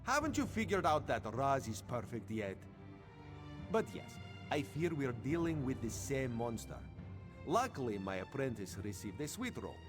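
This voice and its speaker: Male actor as cocky feline game character, theatrical, highly expressive pitch swings, playful, music behind. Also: normal speed, lightly acted. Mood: confident, conspiratorial. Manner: theatrical, self-assured, refers to himself by name. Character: vain, playful male cat-man agent speaking in third person. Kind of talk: video game character dialogue.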